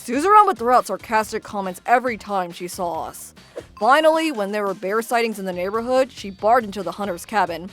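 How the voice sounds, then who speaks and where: Woman as a friendly young wife narrating a manga dub, really expressive, light music behind. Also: mid-range voice, a little fast, lightly acted. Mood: storytelling. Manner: expressive storytelling. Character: friendly, ordinary young wife telling her own story. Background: background music.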